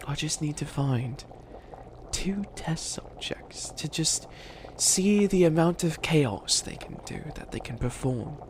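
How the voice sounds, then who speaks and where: A man's voice: on the high side, lively intonation, slow, slight background noise, poor audio. A man playing a bumbling, dramatic bunny witch who fancies himself evil, rambling and scheming theatrically in a comedic ASMR roleplay. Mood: conspiratorial plotting.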